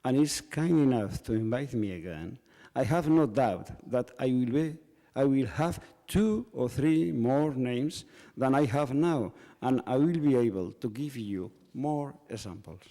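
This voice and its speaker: Mellow-voiced man with dry humor. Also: medium pitch, expressive. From Spain. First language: Basque. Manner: measured, dryly humorous. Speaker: panel author. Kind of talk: prepared panel remarks. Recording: reverberant hall.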